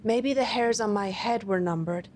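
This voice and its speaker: A woman's voice: medium pitch, moderate pace, a little mellow, a little hiss or hum, lightly acted. A woman voicing an anxious, loving young wife who speaks in an eager, pleading way.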